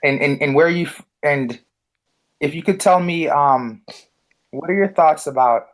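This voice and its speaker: A man's voice: on the high side, moderate pace. A man co-hosting a casual discussion show, talking with frequent ums and restarts. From United States. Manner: hesitant, many fillers and restarts. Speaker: podcast co-host.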